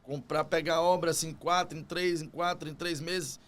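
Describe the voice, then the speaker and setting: Higher-voiced Bahian Brazilian man. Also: steady tone, moderate pace, fairly bright tone. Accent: Bahian Brazilian Portuguese. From Salvador, Bahia, Brazil. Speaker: podcast guest, construction business owner. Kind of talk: conversational interview storytelling.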